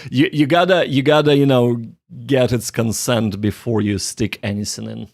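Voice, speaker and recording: A man's voice: medium pitch, fairly even intonation, moderate pace, gravelly, darkish tone, dry recording. A man hosting a casual study livestream, thinking aloud in a joking, self-deprecating way. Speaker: livestream host, language learner.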